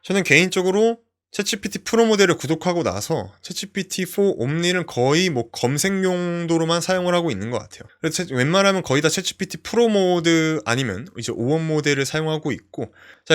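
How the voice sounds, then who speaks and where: A young man hosting a screen-share tech tutorial, explaining step by step with frequent fillers. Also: a little high. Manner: explanatory, lively, many fillers "어" and "뭐".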